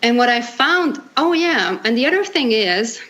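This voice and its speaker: A woman in her thirties from Hungary with a Hungarian accent, a non-native English speaker telling her story as an interview guest in an earnest, halting way with many restarts. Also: fairly high pitch, big pitch swings, sharp tone, dull audio. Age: 30s. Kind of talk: casual interview chat.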